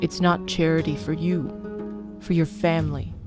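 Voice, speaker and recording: Woman voicing an earnest halting paladin. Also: low voice, little pitch movement, over music, lightly acted.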